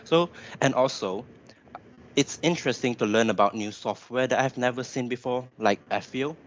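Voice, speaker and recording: A man's voice: medium pitch, moderate pace, lo-fi sound, slight background noise. A man in his twenties with a Malaysian accent, giving a webinar presentation in an informal explanatory way. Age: 20s. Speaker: graduate engineer presenter.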